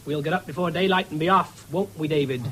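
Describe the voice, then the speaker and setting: A man's voice: on the high side, fast talker, bright, forceful tone. A breezy young man of the farm, teasing and sure of himself. He banters lightly in a 1970s radio play, with faint noise on the old broadcast. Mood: cheeky, breezy. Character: cocky, teasing young farm son leaving for war. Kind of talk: radio drama acting.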